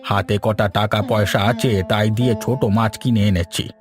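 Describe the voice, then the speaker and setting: A man's voice: lowish voice, steady tone, moderate pace, over music, lightly acted. A man voicing an elderly, hard-up but hospitable village father-in-law in a Bengali cartoon story. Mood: worried.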